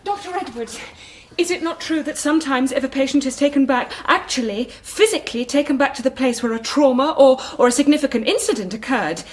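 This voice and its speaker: An actress playing an earnest, determined female psychoanalyst in a radio drama, urgent and persuasive, with a breathy voice. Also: sits high, fairly quick, hardly any pauses, a little hiss or hum, close to an everyday voice.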